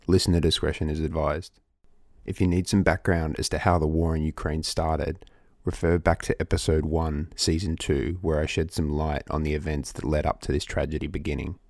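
Deep gravelly male podcast narrator. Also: little pitch movement, a little mellow, no room sound, studio quality. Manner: measured, earnest delivery. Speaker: podcast host. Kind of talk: scripted narration. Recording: music bed underneath.

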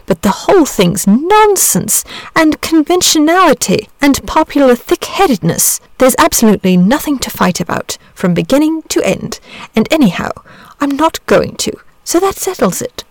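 A woman plays a vain, lazy storybook dragon of gentlemanly manners. The voice often lifts high, with a creaky edge. The manner is chatty, fussy and politely old-fashioned. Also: big pitch swings, moderate pace, dry recording. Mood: firm, dismissive. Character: big blue scaly dragon, genteel, lazy, vain gentleman. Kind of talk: storybook character voice.

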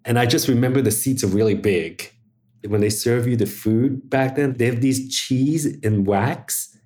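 A man's voice: medium pitch, a little slow, mellow. A middle-aged man from Hong Kong, a guest on an interview podcast, telling a personal story in a casual, chatty way with many fillers. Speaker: interview guest, media executive.

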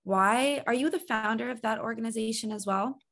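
Creaky-voiced woman interviewer. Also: a little high, fairly quick, a bit bright. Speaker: interviewer, mental health trainer. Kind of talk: conversational interview hosting.

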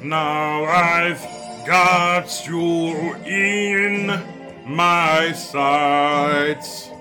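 A man's voice: on the high side, fairly even intonation, drawn-out words. A man singing a pop ballad. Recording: music backing behind the voice.

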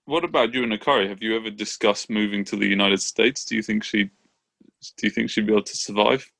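Male co-host, gravelly voice, dry sound, direct questions. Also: on the low side, fast, fairly bright tone, dry recording. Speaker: podcast co-host. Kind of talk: casual interview chat.

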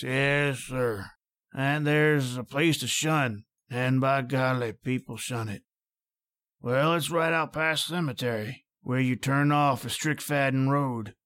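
A man's voice: mid-range voice, fairly bright tone, natural voice. An actor playing a garrulous, superstitious old country man with a rural American accent, telling local legends in a rambling folksy way in an old-time radio drama. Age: older. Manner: folksy, rambling, emphatic dialect speech.

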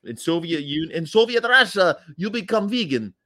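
A man's voice: expressive, normal speed, sharp tone. A man putting on an exaggerated Russian comedian voice as a joke. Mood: mock-boastful. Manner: joking, exaggerated high voice.

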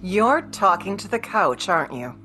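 An actress playing a patient, practical ex-wife in a radio play, with a natural, placating way of talking. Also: female voice, fairly low pitch, very animated, normal speed, pushed and bright, faint music behind. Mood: exasperated. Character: patient, practical career woman, an exasperated ex-wife.

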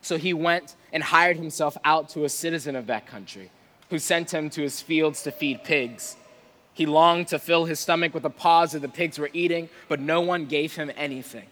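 High-voiced male preacher in a reverberant hall. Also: moderate pace, fairly bright tone. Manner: conversational, playful, informal. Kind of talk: sermon with casual humour and scripture reading. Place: large reverberant room before a live audience.

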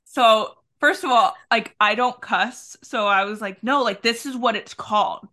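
A woman's voice: on the high side, measured pace, sharp tone. A woman hosting a podcast, chatting and telling stories with frequent laughter. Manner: chatty, giggly storyteller. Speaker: podcast host. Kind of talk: casual podcast chat.

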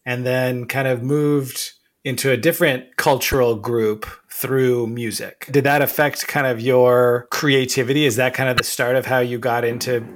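A man's voice: mid-range voice, level tone, moderate pace. A man hosting a podcast interview, upbeat and eager, with frequent fillers. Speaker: podcast host. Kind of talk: casual interview chat.

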